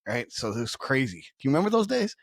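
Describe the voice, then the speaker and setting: A man's voice: medium pitch, big pitch swings, fast, vocal fry, no echo, clean studio sound. A middle-aged man co-hosting a podcast, talking casually and making points with emphatic rhetorical questions. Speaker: podcast co-host, content marketing expert.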